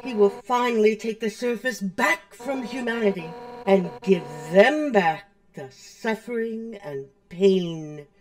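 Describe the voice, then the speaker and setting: A woman's voice: mid-range voice, a little mellow, soft background music, lightly acted. A woman voicing a grave, vengeful monster knight in a video game, speaking solemnly and threateningly. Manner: slow, solemn, threatening delivery. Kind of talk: dramatic video game voice-over.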